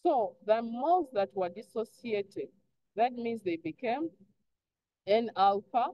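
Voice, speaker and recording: A woman's voice: a little high, expressive, slow pace, no room sound. Woman teaching online, dull lo-fi sound. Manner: instructive, asks prompting questions and answers them herself. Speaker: chemistry teacher. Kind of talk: online lesson, explaining step by step. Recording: dull lo-fi online class audio.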